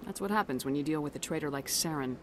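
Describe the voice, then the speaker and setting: A woman's voice: low voice, quick pace, background noise, natural voice. A woman playing a composed, assertive military hero in a video game, speaking firmly and directly. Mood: direct, businesslike. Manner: direct, firm. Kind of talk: video game character acting. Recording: game music behind the voice.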